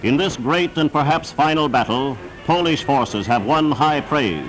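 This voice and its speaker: A man's voice: on the high side, measured pace, over loud music, low-quality recording. A man narrating a scripted wartime newsreel in an emphatic, declamatory announcer's manner, on a vintage 1940s soundtrack.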